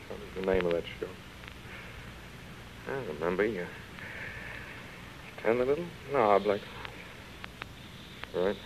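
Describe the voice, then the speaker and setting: An actor in a 1930s radio drama playing a proud, broken, drinking man, halting and muttering to himself. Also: fairly low pitch. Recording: dull, hissy 1930s radio recording.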